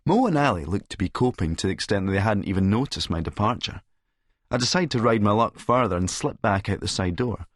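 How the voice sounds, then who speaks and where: A Scottish man reads a first-person story with a sardonic edge. His voice sits fairly low and is recorded close and dry. The delivery is dramatic and sneering. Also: dry recording. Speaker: audiobook narrator and actor.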